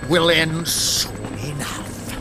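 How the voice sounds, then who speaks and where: An actor playing a smug, theatrical middle-aged villain in a video game, savouring his words in a creaky, grandiose way. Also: on the high side, expressive, drawn-out words. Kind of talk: dramatic video game voice acting. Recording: loud music behind the voice.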